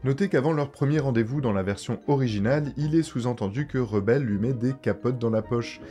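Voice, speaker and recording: A man's voice: medium pitch, fairly quick, dark tone. A young man giving a scripted, irreverent recap commentary in a colloquial, sarcastic way, with music behind him. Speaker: video essayist narrator. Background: background music.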